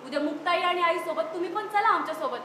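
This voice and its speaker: A woman acts out a young mother in a stage drama, practical and insistent. Her voice is high and bright, projected with emphasis in an echoing hall. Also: lively intonation, quick pace, exaggerated voice. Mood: reasoning, firm. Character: young mother and sister-in-law arguing practically. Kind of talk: stage play dialogue in Marathi. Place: indoor stage at a youth festival. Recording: roomy hall, distant stage pickup, faint noise.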